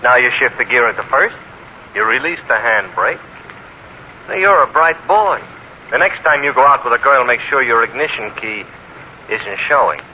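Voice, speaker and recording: A man's voice: mid-range voice, expressive, normal speed, close to an everyday voice. A man playing a bossy, sarcastic policeman with mock-patient condescension, in 1940s radio acting style. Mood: mocking patience. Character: sarcastic, bossy male beat cop. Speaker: radio actor. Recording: muffled, noisy 1940s radio broadcast.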